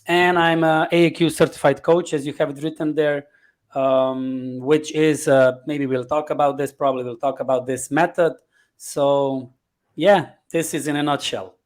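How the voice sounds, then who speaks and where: A man's voice: fairly high pitch, steady tone, moderate pace. A man from Slovenia with a Slovenian accent, answering interview questions conversationally as a guest, in short listed fragments of non-native English. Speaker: podcast guest, life coach.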